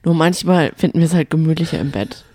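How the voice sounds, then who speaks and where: A woman's voice: low voice, lively intonation, fast talker, mellow, dry recording, studio quality. A woman co-hosting a casual podcast, bantering in a chatty, teasing way. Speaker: podcast co-host. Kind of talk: casual banter recapping reality TV.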